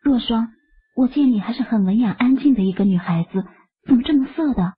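Woman doing a gentle young wife, soft-spoken, breathy husky voice, slightly high pitch. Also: expressive, brisk. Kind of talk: audio drama acting. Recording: dull lo-fi audio.

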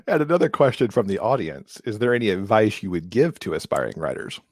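A man's voice: lowish voice, big pitch swings, brisk, dry recording. A middle-aged man hosting a conversational interview, speaking into a close microphone. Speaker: interview host. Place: indoor room, on video call. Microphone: close desk microphone.

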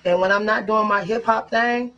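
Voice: mid-range voice, fairly even intonation, normal speed, dull audio, close to an everyday voice. A performer voicing an upbeat hip hop puppet introducing itself in a skit.

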